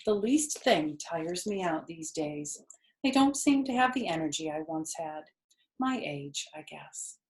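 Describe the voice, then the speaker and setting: A woman's voice: sits low, lively intonation, a little slow, echoey room, low-quality recording. A woman voicing a wise, frank female spider, polite and precise, in a storybook reading. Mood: weary.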